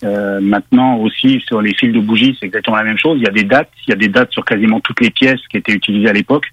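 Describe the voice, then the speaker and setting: A man's voice: on the low side, fast, fairly bright tone, breathy edge, dry recording, highs cut off. A man with a Parisian French accent, a car magazine editor explaining at length as a radio guest, with many hesitations.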